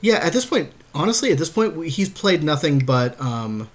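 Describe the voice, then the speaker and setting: A man's voice: on the high side, big pitch swings, moderate pace. A man co-hosting a podcast, chatting casually and agreeably about strategy in a card game he is helping to play. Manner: agreeable, conversational.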